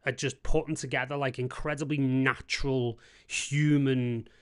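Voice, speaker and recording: A man's voice: medium pitch, level tone, moderate pace, smooth. Chatty scouse guy hosting a music feedback stream, keen, says mate a lot. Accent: Scouse, softened. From Liverpool, England. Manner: enthusiastic, leans on "you know" and "mate". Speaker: host of a music feedback show. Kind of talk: casual chat on a live stream.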